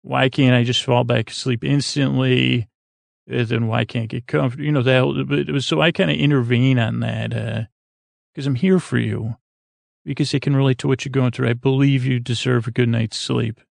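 A man with a gravelly voice hosting a sleep podcast, rambling whimsically and haltingly, often trailing off and circling back. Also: mid-range voice, fairly even intonation, no echo. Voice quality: very creaky, gravelly. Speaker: podcast host.

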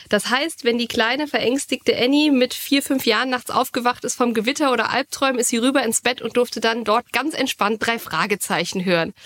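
A woman's voice: fairly high pitch, fairly bright tone. A woman co-hosting a podcast, chatting casually and telling anecdotes in a fluent, enthusiastic way. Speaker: podcast co-host.

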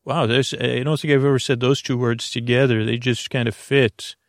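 A man with a creaky voice hosting a bedtime podcast in a slow, halting, soothing ramble full of tangents. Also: medium pitch, little pitch movement, no echo, studio quality. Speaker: podcast host.

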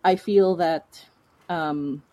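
A woman with a distinctly low-pitched voice speaks as a guest in a relaxed interview. She is chatty and informal, working out her thoughts aloud as she talks. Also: drawn-out words. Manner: chatty, informal, laughs while talking, thinks aloud. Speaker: interview guest, fiction podcast creator. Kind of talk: casual conversational interview.

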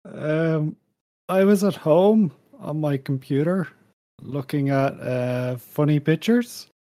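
A man's voice: on the high side, slow, warm tone, no room sound. A man playing a hesitant, sheepish suspect under questioning in an improvised comedy radio play, talking casually. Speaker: podcast host.

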